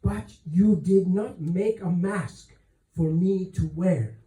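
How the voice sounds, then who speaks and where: A man's voice: high-pitched, slow pace, mellow. A man voicing an earnest, humble young servant woman from a novel, with a hesitant, deferential way of speaking. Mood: earnest, frustrated. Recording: roomy echo, distant microphone.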